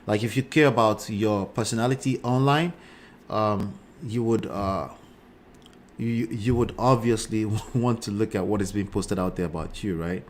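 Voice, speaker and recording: A man's voice: on the low side, moderate pace, a little hiss or hum. A man in his forties with a Nigerian accent hosts a live show, giving casual commentary and often checking in with short tags like "right" and "okay". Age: 40s.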